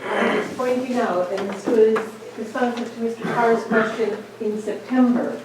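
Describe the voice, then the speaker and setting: A woman's voice: a little high, fairly even intonation, measured pace. A woman speaking formally and precisely for the applicant at a public hearing. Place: town meeting room. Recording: very echoey hall from far off with noise.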